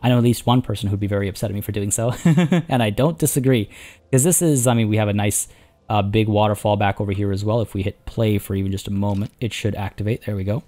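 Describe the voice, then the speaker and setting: Hoarse man chatting over gameplay. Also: lowish voice, quick pace, mellow. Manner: rambling, chatty, many restarts and fillers. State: hoarse, losing his voice. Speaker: let's play host. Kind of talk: casual gameplay commentary.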